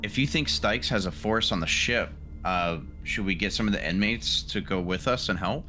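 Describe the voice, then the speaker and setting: A man's voice: on the low side, normal speed, pushed and bright, a little hiss or hum. A man playing a tabletop roleplaying game, talking casually with the group.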